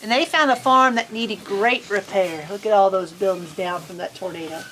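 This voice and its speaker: A woman reading a picture book aloud to children in an expressive, folksy, chatty storytime manner. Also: mid-range voice, moderate pace, slight background noise, rough recording. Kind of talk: animated children's story reading with casual asides. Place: on a farm among sheep.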